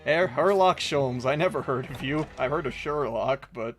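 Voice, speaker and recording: A male streamer chatting casually and excitably while playing, swearing often. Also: medium pitch, moderate pace, soft background music. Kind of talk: casual game stream chat.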